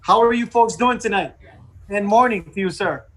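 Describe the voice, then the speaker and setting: A man's voice: high voice, moderate pace, fairly bright tone. A man hosting an online radio talk show, interviewing guests in a casual style.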